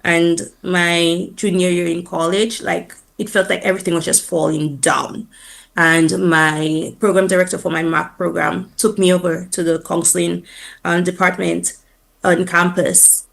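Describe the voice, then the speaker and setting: A woman from Dominica with a Dominica accent, a teacher talking as a guest in a radio interview. She is animated and proud, laughs often, and uses fillers like "um" and "like". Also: lowish voice, a little slow, vocal fry. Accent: Dominican (Dominica) Caribbean English.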